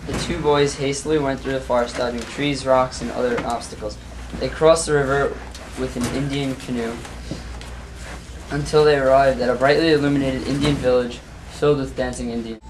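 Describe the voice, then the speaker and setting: A woman's voice: extremely low pitch, steady tone, normal speed. A woman narrating a story in a plain reading style. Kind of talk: student narration read aloud. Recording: noisy amateur recording.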